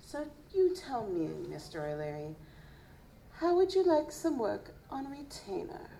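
Woman acting as poised female vampire, very expressive pitch, mellow tone, formal, deliberate, live audio drama. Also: exaggerated voice. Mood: silky, persuasive. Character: elegant, composed female vampire who hunts monsters. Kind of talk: noir audio drama dialogue. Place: live stage performance at a convention. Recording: live stage, faint background noise.

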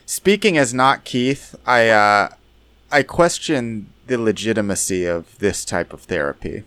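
A man in an improvised comic discussion, talking in a skeptical, halting, argumentative way. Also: on the low side, expressive, fairly bright tone, clear, resonant tone, dry recording.

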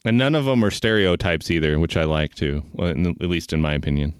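A man co-hosting a podcast, talking casually in an informal, halting way full of filler words. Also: deep voice, clean tone, no room sound, studio quality. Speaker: podcast co-host. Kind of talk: casual chat.

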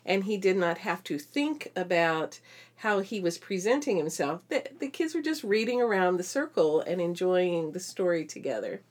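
Middle-aged woman, slightly low conversational voice. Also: animated, moderate pace. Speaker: podcast co-host, homeschooling mother. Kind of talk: casual conversational chat.

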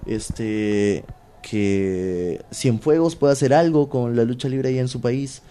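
Peruvian-accented wrestler, mellow-toned, loose slangy chat, filler-heavy. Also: male voice, mid-range voice, slow, smooth. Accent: Peruvian Spanish. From Peru. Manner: animated, slangy, many fillers. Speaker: pro wrestler guest. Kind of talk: casual interview chat.

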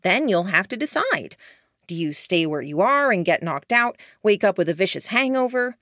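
A woman voicing a cocky, scheming young man who taunts his rivals with a mocking, drawn-out delivery. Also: medium pitch, big pitch swings, a bit bright, no room sound, studio quality. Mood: taunting. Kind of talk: audiobook character voice.

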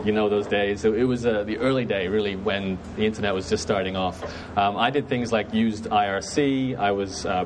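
A man's voice: fairly low pitch, background noise. A man in his thirties from Brisbane with a North American-sounding accent from his Canadian parents, giving a live talk to an event audience on a clip-on mic, chatty, asking the room questions. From Brisbane, Australia. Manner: chatty, asks the audience questions, many ums and restarts. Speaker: speaker at a networking event. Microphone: clip-on lapel mic.

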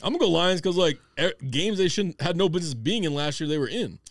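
A man's voice: fairly high pitch, animated, fast talker, no echo, studio quality. A man chatting casually on a sports podcast panel, tossing in picks and opinions.

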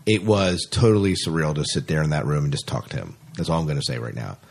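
A man's voice: extremely low pitch, fast talker, vocal fry. A man chatting casually about science fiction as a podcast co-host, often repeating phrases for emphasis.

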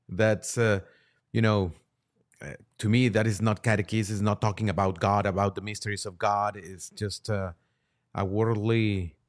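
Low-voiced, halting Spanish-native priest. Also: male voice, steady tone. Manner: hesitant, halting. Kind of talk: conversational commentary.

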